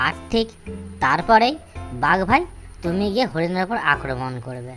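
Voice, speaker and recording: Woman doing a sly schemer fox for a cartoon, low voice, confiding and persuasive, music underneath. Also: lightly acted. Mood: scheming. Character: sly, scheming fox, smooth-talking friend to all. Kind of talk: cartoon animal fable acting.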